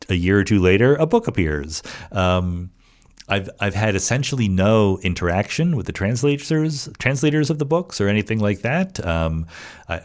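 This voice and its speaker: Lively low-voiced man answering questions. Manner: conversational with fillers and restarts, reads questions aloud. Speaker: podcast host answering listener questions. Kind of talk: solo Q&A podcast, thinking aloud.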